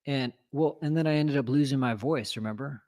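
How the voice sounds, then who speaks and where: A man telling a story in loose, casual chat. He rambles, piles up fillers and keeps restarting his sentences. His voice sits at an ordinary middle pitch for a man. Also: brisk, darkish tone. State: recovering from lost voice. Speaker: co-host telling a home renovation story.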